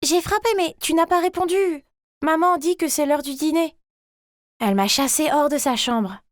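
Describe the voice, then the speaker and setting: A woman's voice: high voice, moderate pace, no room sound, clean studio sound, close to an everyday voice. A woman voicing a chatty, ordinary schoolgirl, an overlooked younger sister who tells her own story expressively. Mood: puzzled, slighted. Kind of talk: animated first-person story narration.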